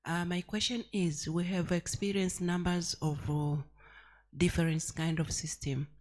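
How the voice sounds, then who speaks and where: Female delegate, Tanzanian accent, lowish pitch, formal and slightly halting, asking a question. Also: steady tone, darkish tone. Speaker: accountant asking from the audience. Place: conference hall.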